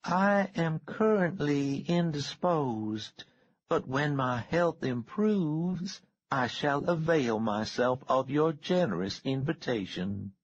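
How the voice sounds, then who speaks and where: A wild-eyed, simpering woman with a thick Southern US drawl, put on by a male reader. The pitch climbs far above the reader's own low voice, and the words are drawn out slowly. It sounds dull and lo-fi. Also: animated, exaggerated voice.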